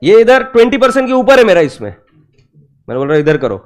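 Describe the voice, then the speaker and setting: Lively man teaching an accounting class. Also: high-pitched, brisk. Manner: lively teacher who keeps quizzing students with rhetorical questions; teasing and playful with students. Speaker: accounting lecturer. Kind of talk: classroom lecture in mixed Hindi and English.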